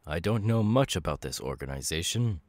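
A man voicing a gentle, melancholy demon who was once human, in a measured, reflective ASMR roleplay with pauses. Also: low voice, animated, no room sound. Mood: quietly warning. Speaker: voice actor. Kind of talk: ASMR audio roleplay, slow intimate acting.

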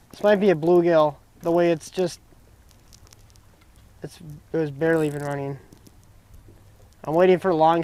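A young man giving excited, casual running commentary while fishing from a dock on a lake. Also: a little high. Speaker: fishing vlogger. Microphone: action camera mic, sometimes head-mounted.

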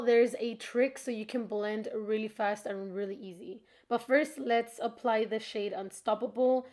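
A woman's voice: on the high side, darkish tone. A young woman giving a casual, chatty makeup tutorial voice-over in an informal, friendly-to-viewers way, sounding slightly stuffed up from allergies. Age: young adult.

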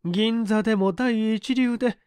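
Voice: mid-range voice, fairly even intonation, a little slow, a little mellow, clear, resonant tone, dry recording, clean studio sound, voice pushed up, natural voice. A narrator voicing a haughty middle-aged woman dressmaker who speaks in an affected, fussy, polite way. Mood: huffy, haughty.

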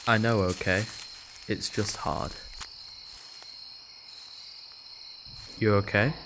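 Faint outdoor noise with crickets surrounds a man acting a young British college student. His voice is on the low side, shy and hesitant, cautious and anxious, in a natural audio drama style. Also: steady tone, unhurried. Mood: downcast. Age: young adult.

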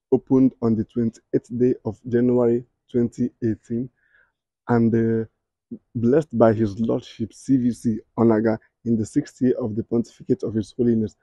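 A young man with a Nigerian accent guides viewers around outdoors, talking straight to them in an upbeat way. His voice is very dark and mellow at a mid pitch. The sound is dry and a little dull. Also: dry recording. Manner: upbeat, addresses viewers directly. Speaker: real estate video host. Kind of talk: walking tour presentation. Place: outdoors in a church compound.

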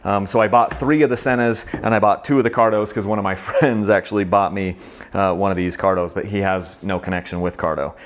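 Low-voiced man giving a casual review. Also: fairly even intonation, fairly quick. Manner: conversational, explanatory. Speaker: product reviewer. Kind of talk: casual hands-on product review.

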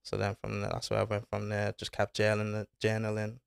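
A man's voice: lowish voice, monotone, fairly quick, gravelly, smooth, no echo, clean studio sound. A young man, talking casually as a podcast guest, laid-back and full of slang. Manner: laid-back slang-filled talk, says bro and innit. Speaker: guest footballer. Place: small studio with foam panels. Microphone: desk mic on arm.

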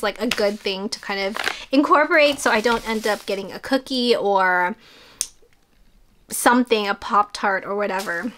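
Woman, 30s, home vlogger; upbeat, filler-heavy chat, a touch high-pitched. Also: bright, forceful tone, a little hiss or hum. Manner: chatty and upbeat, with frequent fillers. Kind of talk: casual haul vlog chat. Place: small bedroom filming space at home.

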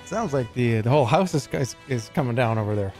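A man reacting quickly and laughing during a casual gaming chat with friends. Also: medium pitch, expressive, darkish tone. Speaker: streamer guest. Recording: game music behind voice.

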